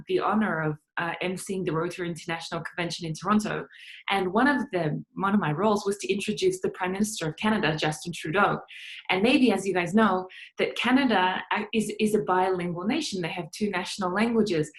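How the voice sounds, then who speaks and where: An Australian woman speaking as an interview guest, expressive and emphatic in a conversational style of storytelling and advice. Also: lowish voice, poor audio.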